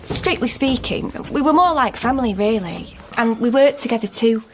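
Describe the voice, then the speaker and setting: A woman's voice: a little high, lively intonation, normal speed, noisy background. An actress playing a courteous, open woman, reminiscing reflectively in a naturalistic radio drama. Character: courteous, open woman recalling her late friend.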